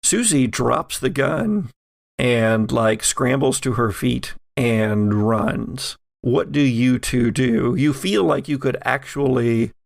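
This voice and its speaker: A man narrating an improvised horror tabletop game as game master, with dramatic pauses and searching phrasing. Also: mid-range voice, vocal fry, dry recording, studio quality.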